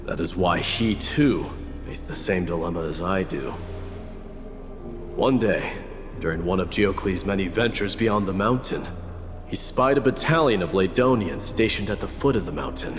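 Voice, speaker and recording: A man playing a grave, proud warrior who tells an old legend in a measured, formal way for a video game. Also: low voice, animated. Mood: solemn. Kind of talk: dramatic video game storytelling. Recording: reverberant hall, music behind.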